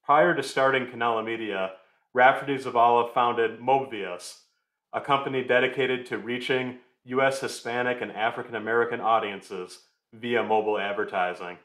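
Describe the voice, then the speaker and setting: A man hosting an interview podcast, his mid-pitched voice ringing in a roomy space. He introduces his guest with a friendly, loose delivery and sprinkles in fillers as he asks questions. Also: steady tone, normal speed, a bit bright. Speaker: podcast host. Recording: roomy echo.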